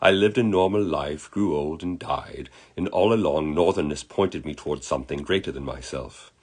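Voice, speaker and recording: A genteel British gentleman from beyond the grave, acted out by a man reading a story. He speaks in a husky voice, courteous and reflective, with a wistful, eloquent turn to his words. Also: a bass voice, normal speed. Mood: earnest, reassuring. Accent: British, put on. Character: genteel, wistful British dead man, friendly spirit in human body.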